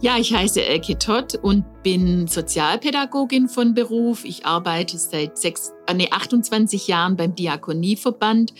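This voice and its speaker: A middle-aged woman speaks as a guest on a podcast, her voice sitting at an average pitch. She explains things step by step, sometimes correcting herself mid-sentence and adding small fillers. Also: a little slow, few pauses, faint music behind. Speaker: guest, social pedagogue. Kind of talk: podcast interview.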